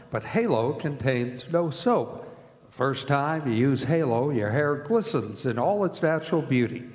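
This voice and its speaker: A man reading as an old-time radio announcer, with scripted delivery of ads and introductions. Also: medium pitch. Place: performing arts center stage. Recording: hall reverb, music behind.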